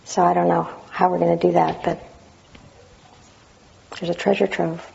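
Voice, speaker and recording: A woman with a naturally loud voice reading a passage dramatically with up-and-down intonation, then sharing her memories in a reflective way. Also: fairly low pitch, little pitch movement, moderate pace, creaky, lo-fi sound, slight background noise. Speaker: Zen practitioner sharing memories of a teacher.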